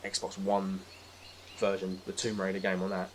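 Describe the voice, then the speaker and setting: A man's voice: deep voice, monotone, moderate pace, a little hiss or hum. A man chatting casually as a podcast guest about films and games, informal and descriptive. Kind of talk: casual film and game chat.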